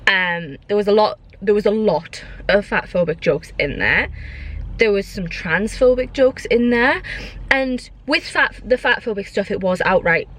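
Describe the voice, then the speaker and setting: A woman's voice: medium pitch, animated, unhurried, a bit bright. A woman vlogging in a casual, opinionated way, repeating points for emphasis. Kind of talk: casual vlog chat. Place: inside a car.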